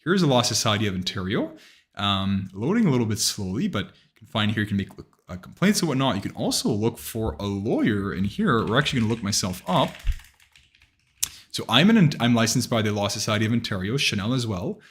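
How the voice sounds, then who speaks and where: A man's voice: fairly low pitch, big pitch swings, fairly quick, fairly bright tone. A man in his thirties, an immigration lawyer, answering viewer questions in a conversational live Q&A and explaining things step by step with frequent restarts. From Canada.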